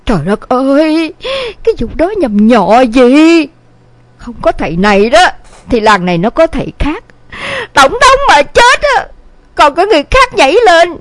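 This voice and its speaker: Woman acting fiery southern Vietnamese village mother, very animated. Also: sits high, a little slow, no room sound. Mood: bitter, upset. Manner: scolding, exclamatory, dramatic. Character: scolding middle-aged village mother, fiery and exasperated. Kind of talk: dramatised audio story acting.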